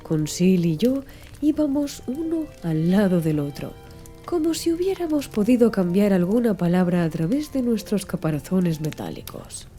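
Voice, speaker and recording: Female audiobook narrator, very dark soft-edged tone, lively melodic delivery, music underneath. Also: mid-range voice, normal speed. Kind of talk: scripted literary audiobook narration.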